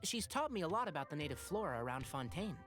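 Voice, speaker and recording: A woman voicing a gentle, caring adult woman in a game who speaks in a concerned, reassuring way. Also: low voice, sing-song, quick pace. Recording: game audio with music behind.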